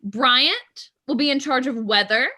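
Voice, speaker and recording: A woman's voice: sits high, unhurried, sharp tone. A woman introducing an improv comedy game, explaining its roles in a chatty, upbeat way. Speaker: improv performer and game announcer.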